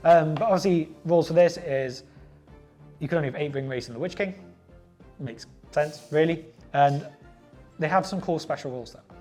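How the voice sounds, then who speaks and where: A man's voice: on the high side, moderate pace, pauses often, darkish tone, soft background music. A man co-hosting a tabletop wargaming show, chatting casually and sometimes reading out rules. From Wales. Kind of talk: casual chat with some reading out of game rules.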